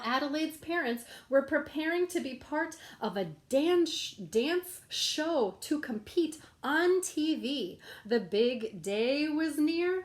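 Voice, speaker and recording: A woman reading a rhyming children's picture book aloud in a playful, sing-song storytelling manner. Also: high-pitched.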